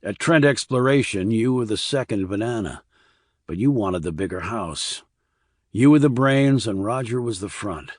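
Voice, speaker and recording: A man voicing a hard, calm military investigator in an audiobook thriller, cool, blunt and controlled. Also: fairly low pitch, moderate pace, no room sound, studio quality. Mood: accusing.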